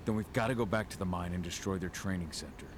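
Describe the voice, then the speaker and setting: An actor playing a gruff, terse, brooding werewolf father in a video game cutscene, protective and intense. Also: deep voice, fast talker, natural voice. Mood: grim, determined. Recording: loud background noise.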